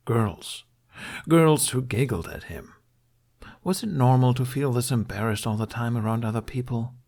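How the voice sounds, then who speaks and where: A man's voice: on the low side, darkish tone. A man narrating scripted fiction as an audiobook reader.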